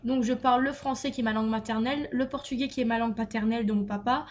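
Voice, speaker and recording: A young woman with a somewhat high voice chats through a list of tag questions for her vlog. She reads each question, then answers in a loose, giggly way, peppered with fillers. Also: steady tone, quick pace. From Luxembourg. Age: young adult. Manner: chatty and giggly, lots of "euh" and "donc voilà". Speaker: beauty vlogger.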